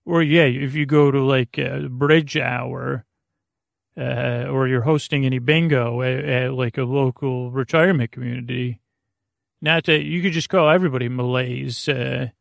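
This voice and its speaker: Male podcast host, gravelly and creaky, dry close sound. Meandering, stop-and-start delivery, whimsical and casual. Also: medium pitch, steady tone, normal speed, dry recording. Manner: goofy, whimsical. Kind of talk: rambling casual monologue for a sleep podcast.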